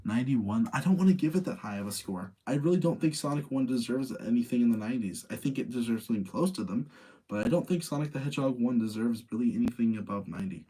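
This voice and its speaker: A man's voice: mid-range voice, fast, mellow, slightly rough, low-quality recording. A man hosting in a casual, informal chat style, freely giving his own opinions as he talks to listeners. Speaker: host of a live ranking show about video game movies.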